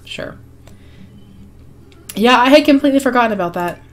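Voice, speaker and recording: A woman hosting a life-sim game video, her voice hoarse and fading, chatting casually with frequent ums and small laughs. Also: fairly high pitch, lively intonation, normal speed. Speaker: gaming channel host. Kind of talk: casual gameplay commentary.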